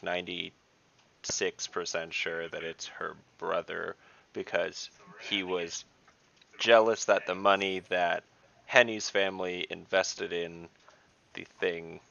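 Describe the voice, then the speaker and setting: A man playing a tabletop game over a video call, musing haltingly in casual discussion. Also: sits low, vocal fry, pushed and bright. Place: indoor room, video call. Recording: dull video call audio.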